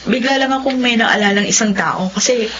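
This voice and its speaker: Gentle young teller lady, actress doing her for a radio play, hissy dull sound. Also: a little high, brisk, natural voice. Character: young woman bank teller, gentle and earnest. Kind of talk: radio drama acting. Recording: lo-fi radio drama with hiss.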